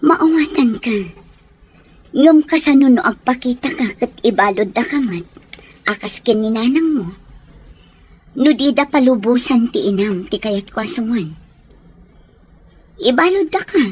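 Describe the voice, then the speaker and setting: A woman's voice: on the high side, animated, unhurried, pauses often, darkish tone, breathy edge. A woman playing a concerned, protective elder-sister figure who cautions others in an audio drama. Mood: cautioning. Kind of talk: Ilocano audio drama acting.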